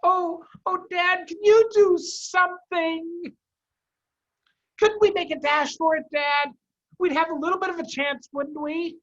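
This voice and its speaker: A man's voice: very high voice, fairly even intonation, a little slow, fairly bright tone, pitched above the performer's own voice. A man voicing an anxious, pleading small fox cub in a children's storybook reading, on lo-fi audio. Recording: dull, lo-fi video-call audio.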